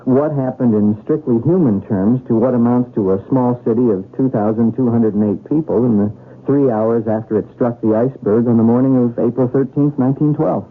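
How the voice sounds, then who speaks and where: An actor playing a polite, earnest young graduate student in a radio drama, deferential and precise, with a husky voice. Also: male voice, mid-range voice, a little fast, natural voice. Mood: scholarly, deferential. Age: young adult. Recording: tinny, narrowband old radio broadcast.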